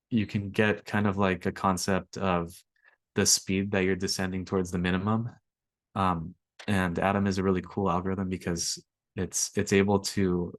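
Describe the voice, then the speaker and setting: A young man, slightly raspy from allergies, walking through a coding workshop in a relaxed way with lots of ums. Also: low voice, no room sound. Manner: relaxed, filler-heavy explaining. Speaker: workshop instructor. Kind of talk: screen-share coding tutorial.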